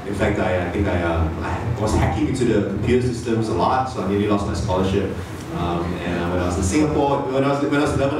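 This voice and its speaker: Malaysian man, late 20s, casual self-deprecating startup talk, lowish voice, recorded from far off with noise. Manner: chatty, self-deprecating, many fillers and restarts. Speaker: startup founder giving a talk. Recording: echoey hall, mic far off, noisy.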